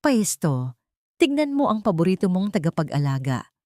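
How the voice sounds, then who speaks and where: A woman's voice: lowish voice, very animated, moderate pace, darkish tone, no echo, studio quality. A woman as a cold, scheming rich villainess. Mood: false concern. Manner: taunting, accusatory. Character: cold, scheming, gloating wealthy female villain.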